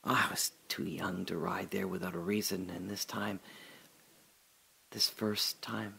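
A man performing a reflective audio drama monologue, speaking slowly and often dropping to a whisper. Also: sits low, steady tone, pushed and bright. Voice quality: slow, gravelly and husky, often dropping to a whisper. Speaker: radio drama narrator and lead.

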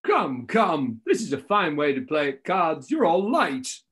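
A middle-aged man playing a grumbling, bored gentleman in a period drama read over video call. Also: on the high side, very animated, measured pace. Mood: impatient. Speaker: actor in live read.

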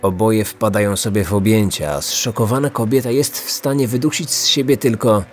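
A man narrating a scripted true-crime podcast as its host, measured and serious. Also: lowish voice, soft background music.

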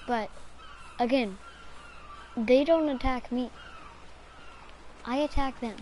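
A woman talking over her gameplay in an unhurried, rambling way, half to herself. Her voice is hoarse and worn from a throat infection. A clear layer of noise sits under the recording. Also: sits high, darkish tone. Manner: rambling, talks to herself while playing. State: hoarse, recovering from strep throat. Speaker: gamer. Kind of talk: casual gameplay commentary.

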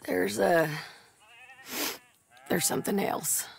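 A woman's voice: mid-range voice, fairly even intonation, slow pace. An actress playing a tough, plain-spoken bounty hunter in her thirties from the Old West, with an American accent and a blunt manner, in a western game. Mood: apologetic, serious. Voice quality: gravelly. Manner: blunt, matter-of-fact. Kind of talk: cinematic western game acting.

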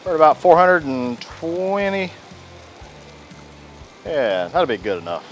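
A man's voice: fairly high pitch, very animated, unhurried, faint music behind. A man, chatting casually while cooking outdoors on a beach for his cooking channel, on a clip-on lapel mic. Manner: folksy, chatty, says "y'all" often.

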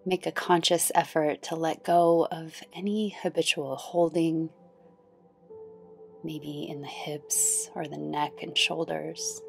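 A woman leads a guided meditation in a low voice with a noticeable creak. She speaks very slowly and gently, soothing the listener as a yoga nidra guide. Faint music runs behind her. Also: steady tone. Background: background music.

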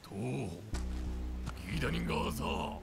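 A man playing a burly, gruff, hearty admiral in a video game, with a gravelly voice and booming, clipped exclamations. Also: expressive, moderate pace. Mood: instructing. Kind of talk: video game cutscene acting in an invented language. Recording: loud music behind the voice.

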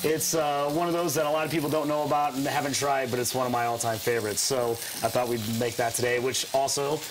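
A man's voice: medium pitch, level tone, fast, over music, low-quality recording. An American man in his thirties, a restaurant owner casually explaining his food as a guest cook. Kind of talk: casual explaining while cooking. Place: indoor TV studio kitchen. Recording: faint pan sizzle and stirring noise.

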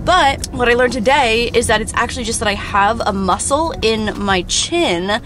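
A woman in her thirties talks to her camera at arm's length, chatty and giggly, her lips numb and swollen from fresh filler. Her voice sits a touch high. Road noise from the car runs clearly underneath. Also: normal speed. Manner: chatty, giggly, self-mocking. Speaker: vlogger. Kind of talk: casual vlog chat. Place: car interior, then home kitchen. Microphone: handheld camera at arm's length.

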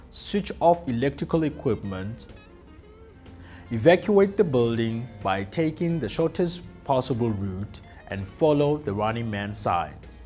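A man presenting a scripted workplace safety induction, reading rules slowly and deliberately with emphatic listing. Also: mid-range voice. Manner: slow, deliberate, emphatic list delivery. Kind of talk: scripted corporate safety narration. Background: soft background music.

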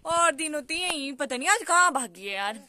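A woman's voice: sits high, lively intonation, moderate pace, sharp tone. A young woman with a Pahari accent, vlogging outdoors on a hillside with a phone at arm's length, chatty, giggly and teasing. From Himachal Pradesh, India. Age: young adult. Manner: giggly, teasing, talks straight to viewers. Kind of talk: casual chatty vlog. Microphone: phone camera held at arm's length.

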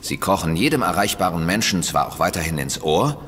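An actor playing the hero's grown son in a German audio drama, explaining earnestly. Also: male voice, deep voice, expressive, brisk, fairly bright tone, big-room sound, soft background music.

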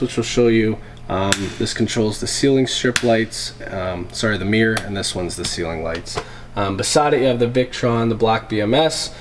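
A man's voice: medium pitch, a little hiss or hum. A young man, stuffy with a head cold, casually presenting a van tour to camera in a workshop. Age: young adult. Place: inside and beside a van in a workshop garage.

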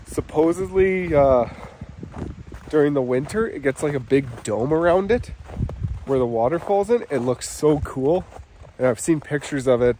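A man, talking casually to the camera in a laid-back travel vlog and sometimes trailing off. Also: dark tone. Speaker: vlogger. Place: outdoors in snowy woods, sometimes in a vehicle. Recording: outdoor background noise, vehicle rumble.

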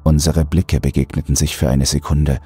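A man narrating a scripted horror audiobook in a deliberate, brooding delivery. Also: extremely low pitch, flat delivery, warm tone, breathy edge, faint music behind.

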